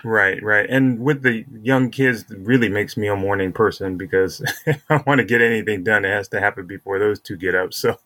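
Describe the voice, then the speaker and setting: A man's voice: lowish voice, a little fast, a bit bright, dry recording. Man hosting a sports podcast, close dry sound. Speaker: podcast host. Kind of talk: casual sports podcast talk and interview.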